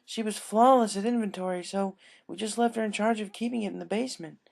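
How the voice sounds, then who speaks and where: A narrator voicing a kindly, apologetic female pony shopkeeper with a soft, polite, motherly manner in a story. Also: medium pitch, fast, creaky, pitched above the performer's own voice, lightly acted. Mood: regretful.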